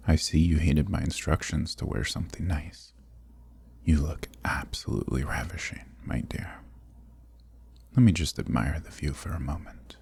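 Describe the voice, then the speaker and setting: Very deep gravelly vampire lord. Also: male voice, a little mellow, no echo, heavily acted. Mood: suave admiration. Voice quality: gravelly, breathy, often dropping to a whisper. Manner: courtly, silky, teasing, menacing, drawn-out phrasing. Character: possessive, sadistic, suavely dominant male vampire lord. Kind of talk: ASMR roleplay, intimate scripted monologue.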